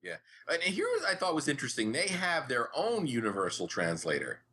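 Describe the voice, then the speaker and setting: A man with a bright, forceful voice and animated intonation chats as a podcast co-host. He comments casually and readily agrees as he goes. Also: medium pitch, brisk, husky.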